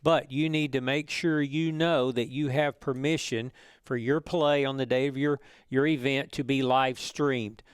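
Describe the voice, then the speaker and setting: A man gives an explanatory webinar presentation. He is methodical and wordy, with a smooth, clear voice at middling pitch and a dry sound. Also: fairly even intonation, unhurried, dry recording. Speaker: organization official co-presenting a webinar.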